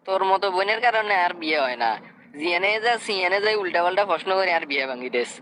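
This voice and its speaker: A man's voice: sits high, expressive, quick pace, sharp tone, a little hiss or hum. A man with a Noakhali Bengali accent voicing a hapless, exasperated young brother in a comic cartoon.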